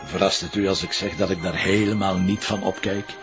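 A man's voice: deep voice, steady tone, a little fast, lo-fi sound, music in the background, close to an everyday voice. A man with a Flemish accent playing a wry, sarcastic, persuasive police commissioner in a crime drama. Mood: wry surprise. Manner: sardonic, teasing, persuasive.

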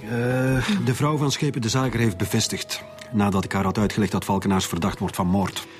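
An actor with a Flemish accent playing a firm, businesslike middle-aged police chief in a radio drama. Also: male voice, on the low side, fairly even intonation, a little fast, close to an everyday voice. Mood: stern, official. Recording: music behind the voice.

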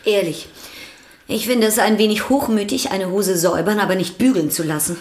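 A woman's voice: mid-range voice, steady tone, brisk, darkish tone, natural voice. A prim, suspicious housekeeper who quizzes a stranger in clipped questions, played by an actress in a radio drama. Her voice sits in a mid range, with a roomy reverb around it. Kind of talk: radio play acting.

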